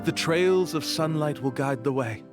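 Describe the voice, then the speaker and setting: An actor playing a brazen, smooth-talking con-artist tour guide in a video game, theatrical, glib and smug. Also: male voice, on the high side, a little slow, music in the background, natural voice. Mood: glib salesmanship.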